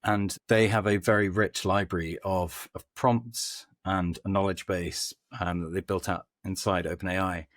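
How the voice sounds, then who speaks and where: A man speaking as a podcast guest, explaining things informally in conversational answers with hesitant pauses. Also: deep voice, fairly even intonation, no room sound, studio quality. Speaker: podcast guest, company head of growth.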